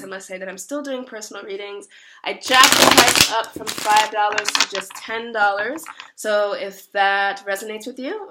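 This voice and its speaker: A young woman with a hoarse voice from a cold gives a chatty, rambling tarot reading full of fillers. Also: mid-range voice, heavy background noise. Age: young adult. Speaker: tarot reader. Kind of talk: casual monthly tarot reading.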